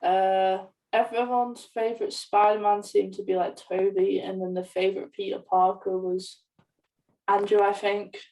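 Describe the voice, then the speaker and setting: A woman's voice: mid-range voice, little pitch movement, unhurried, mellow. Young girl chatting casually on a podcast, sounds way back from the mic. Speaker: podcast co-host. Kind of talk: casual chat. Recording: distant from the microphone.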